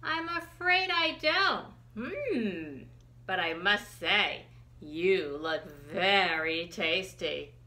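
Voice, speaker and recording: A woman voicing a sly, hungry cheetah in a picture book, in a measured, musing manner. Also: fairly low pitch, animated, bright, forceful tone, full character voice. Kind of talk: storybook read-aloud.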